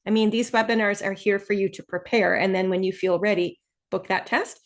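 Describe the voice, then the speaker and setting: A woman from Canada with an Ontario accent, teaching an online test-prep webinar in a friendly, explanatory way and slowing down to stress key points. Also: medium pitch, fairly even intonation.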